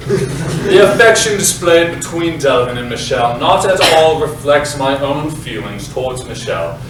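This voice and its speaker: Man as a pompous playwright, very echoey stage. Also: a little high. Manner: pompous, deliberate. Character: pompous self-important playwright, bitterly defensive about his divorce. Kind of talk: comedic stage monologue to audience. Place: live staged reading in a roomy space. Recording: very echoey room, distant mic, hiss.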